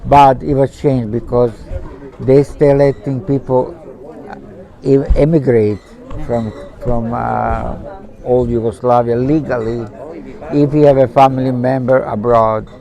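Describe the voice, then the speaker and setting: Older man telling a quiet, mellow testimony. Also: medium pitch, little pitch movement, vocal fry, rough recording. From born in Macedonia, raised in Zagreb. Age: 70s. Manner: halting, simple non-native English phrasing. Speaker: interviewee. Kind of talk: personal testimony in conversation. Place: outdoors at a pilgrimage site.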